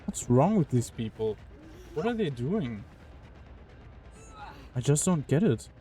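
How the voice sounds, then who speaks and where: Mellow-voiced young man streaming games. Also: mid-range voice, sing-song, slow, faint music behind. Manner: puzzled, chatty, talks to chat. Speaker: game streamer. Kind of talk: casual live-stream commentary while playing.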